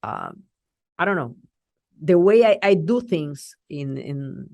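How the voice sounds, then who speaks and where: Woman, low pitch, dry close sound, animated, halting. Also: creaky, no room sound, lo-fi sound. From Puerto Rico. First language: Spanish. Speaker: TV journalist guest. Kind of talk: casual interview talk.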